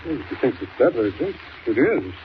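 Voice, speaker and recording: An old, hissy radio drama recording. A man plays a bluff, hearty governor with a middle-pitched male voice, brusque, folksy and used to giving orders. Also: big pitch swings, a little fast, lo-fi sound, close to an everyday voice. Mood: decisive, brusque. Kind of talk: 1940s radio acting.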